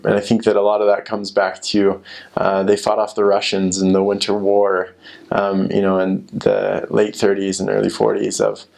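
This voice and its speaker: A man's voice: fairly low pitch, vocal fry. A young American man chatting casually and informally about his experiences in a rambling way, full of filler words. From United States. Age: young adult. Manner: rambling, informal, frequent "like" and "you know". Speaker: former missionary sharing experiences. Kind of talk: casual chat.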